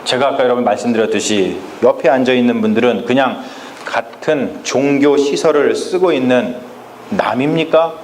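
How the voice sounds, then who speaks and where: A man's voice: mid-range voice, normal speed, a little mellow, a little hiss or hum. Emphatic male pastor in an echoey church. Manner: emphatic preaching, often addresses the congregation. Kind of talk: Sunday sermon. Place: church sanctuary. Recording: echoey church with faint ambience.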